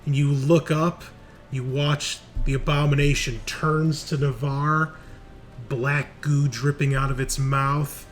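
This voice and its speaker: A man's voice: a little high, flat delivery, slow, faint music behind. A man running a tabletop roleplaying game as game master, moving between casual rules talk and dramatic, sweary narration.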